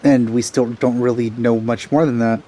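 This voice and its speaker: A man's voice: mid-range voice, level tone, moderate pace, warm tone. A man giving casual let's play commentary in a dry, laid-back way.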